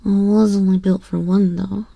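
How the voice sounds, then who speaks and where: A woman's voice: mid-range voice, flat delivery, warm tone, no echo. A woman playing a haughty, condescending young empress in a scripted ASMR roleplay, teasing and imperious, speaking intimately into a close microphone. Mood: teasing, smug. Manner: imperious, slow, theatrical, teasing drawl with breathy whispers. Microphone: close binaural microphone.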